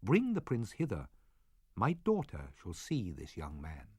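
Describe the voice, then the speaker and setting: A man reading the part of an aged sorcerer and father, with a husky, measured and solemn delivery. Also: sits low, wildly swooping pitch, no echo, studio quality, heavily acted. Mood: commanding. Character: aged male sorcerer, stern but loving father. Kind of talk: audiobook drama reading.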